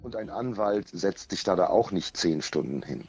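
A man speaking as an interview guest in casual conversation, measured and given to wry understatement. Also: deep voice, lively intonation, a little mellow, lo-fi sound, faint music behind.

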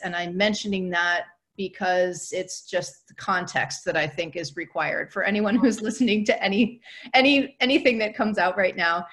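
A woman's voice: fairly low pitch, a bit bright. A woman hosting an interview podcast, speaking in an upbeat, informal, conversational way. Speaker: podcast host.

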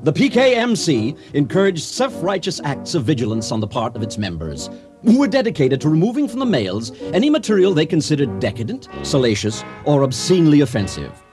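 An actor playing an exuberant comic storyteller in a radio drama, husky and grandly mock-solemn. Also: male voice, fairly high pitch, huge pitch swings, fairly quick, over music. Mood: wry. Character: exuberant comic storyteller addressing a wedding crowd. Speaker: narrator.

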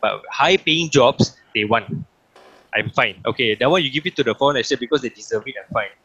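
A man's voice: medium pitch, a little fast, pushed and bright, slightly rough, dull audio. A Singaporean man talking in a casual podcast chat, impassioned and opinionated, often repeating himself.

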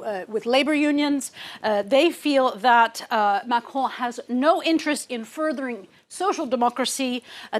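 A woman presenting a broadcast press review in a halting way, with frequent hesitations. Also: high voice.

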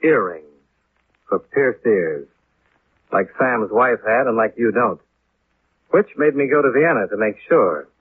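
Terse American private investigator, world-weary and deadpan, slightly husky, old narrow-band radio recording. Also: male voice, mid-range voice, a little slow, pauses often, no room sound. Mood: probing, accusing. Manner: clipped, deadpan. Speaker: lead radio actor and narrator. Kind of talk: 1950s radio detective acting. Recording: muffled, narrow-band vintage 1950s radio.

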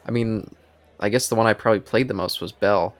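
A man's voice: fairly low pitch, fast talker. A man co-hosting a podcast, talking in an informal, conversational way. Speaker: podcast co-host. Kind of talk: casual chat.

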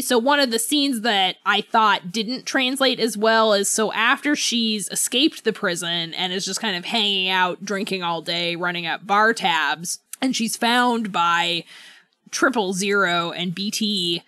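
A woman's voice: mid-range voice, a little slow, sharp tone, no room sound. Casual woman podcaster, very dry close sound. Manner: informal, rambling, peppered with "like" and "you know". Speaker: podcast co-host. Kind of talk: casual book club chat.

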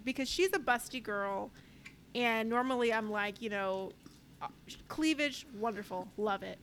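A woman's voice: a little high, a bit bright, a little hiss or hum. A woman co-hosting a casual chat show, giving candid opinions in an informal, slangy way. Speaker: co-host of a reality TV recap show.

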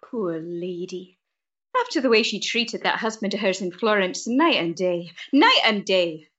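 A woman's voice: medium pitch, lively intonation, a little slow, fairly bright tone. Woman playing a chatty old servant, slightly muffled. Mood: pitying. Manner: homely dialect, garrulous. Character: old, chatty, devoted woman servant, wry about husbands. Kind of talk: audio drama acting.

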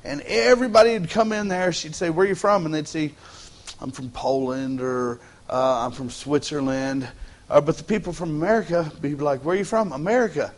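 A man's voice: on the high side, brisk. A man with a Texan accent, a pastor preaching a casual, joking sermon in church with folksy asides and stumbling restarts. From Texas. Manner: joking, folksy, repeats words as he starts phrases. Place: church sanctuary.

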